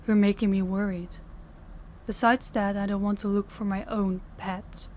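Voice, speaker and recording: Woman as dominant mafia boss, stern, condescending, commanding, lower-pitched female voice, audio roleplay, noisy background ambience. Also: a little mellow, lightly acted. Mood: stern, scolding. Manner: imperious, clipped orders with patronizing praise. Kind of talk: audio roleplay addressed to the listener.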